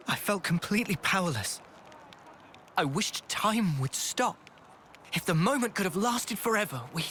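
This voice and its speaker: Slow-talking, earnest young hero. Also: male voice, sits high, a bit bright, a little hiss or hum, exaggerated voice. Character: gentle, earnest young male hero, burdened by regret. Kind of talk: dramatic video game cutscene acting.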